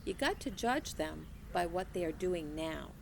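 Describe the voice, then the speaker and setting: Gentle elderly storybook woman, slow drawl, lively lilt; woman reader doing her. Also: fairly low pitch, gravelly, a little hiss or hum. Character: old wise southern woman, gentle and patient.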